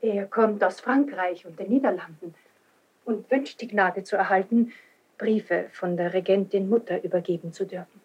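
Eine Frau spielt eine steife Hofdame, dumpf aufgenommen. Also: female voice, mid-range voice, normal speed, darkish tone, slightly rough, close to an everyday voice. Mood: stiffly formal. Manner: stiff, formal announcing. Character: formal lady-in-waiting, strict about court protocol. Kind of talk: classical German verse radio drama acting. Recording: muffled voice, dull sound.

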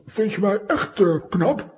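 High-voiced, gullible comic bumbler. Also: male voice, expressive, a little mellow. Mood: flustered, suspicious. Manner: halting, stammering, with many hesitant ehs. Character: gullible, vain, dim-witted comic adult man, good-hearted. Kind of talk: children's audio drama acting.

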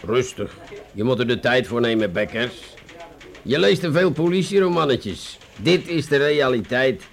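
A man with a Netherlands Dutch accent playing a condescending, weary police inspector in a radio play, sardonic and deliberate, with stammered hesitations. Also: medium pitch, expressive, gravelly. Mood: deliberate, dismissive.

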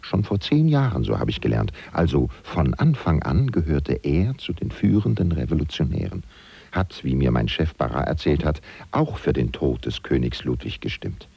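An actor playing a wry, gossiping French valet who confides ironically in the listener as narrator of a German radio play. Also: male voice, rumbling low, big pitch swings, moderate pace, vocal fry, mellow, breathy edge, no room sound, heavily acted. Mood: confiding, uneasy. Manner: confiding, ironic, rhetorical questions.

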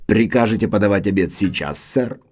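An actor playing a formal, polite manservant of an old manor in an audio drama. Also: male voice, fairly low pitch, fairly quick, a little mellow, slightly rough. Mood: deferential, formal. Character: deferential, sorrowful manservant butler of an old manor.

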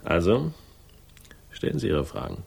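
A hard-boiled private investigator with a low, creaky voice. He is wry and laconic, delivering noir radio drama narration and dialogue with deadpan irony. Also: full character voice. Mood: flippant. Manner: deadpan, ironic, unhurried.

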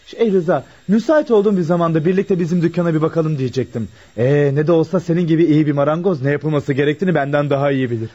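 Man acting affectionate young son, soft husky voice. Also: a little high, fast, few pauses, natural voice. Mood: easygoing, earnest. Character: affectionate, teasing young son back from military service. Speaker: radio drama actor. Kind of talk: naturalistic radio play dialogue. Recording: dull lo-fi radio play recording.

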